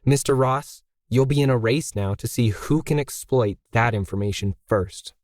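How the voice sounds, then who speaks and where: A man's voice: mid-range voice, normal speed, a little mellow, no echo, lightly acted. Man reading as stubborn woman artist, fiery, challenging, emphatic, audiobook style, dry sound. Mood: triumphant.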